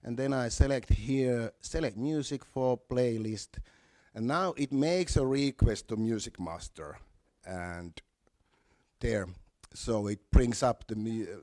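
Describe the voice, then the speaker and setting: Slow-paced man demonstrating software step by step. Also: medium pitch, no echo, clean studio sound. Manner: step-by-step, halting, with repeated words. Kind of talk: live software walkthrough.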